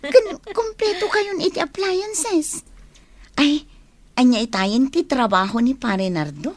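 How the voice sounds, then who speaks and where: A woman's voice: high-pitched, expressive, takes it slowly. A woman playing a gossipy, envious village wife in a radio drama, speaking with an Ilocano accent in a prodding, exclamatory way. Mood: admiring, curious.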